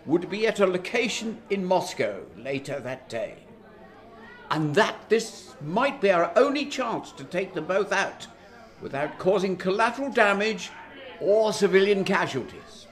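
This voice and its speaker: A male actor plays an in a radio drama, defending himself in formal parliamentary oratory. The man's voice is pitched high and swings widely as he makes each measured, emphatic point. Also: fairly bright tone. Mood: grave, insistent. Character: defensive British Prime Minister making a grave statement.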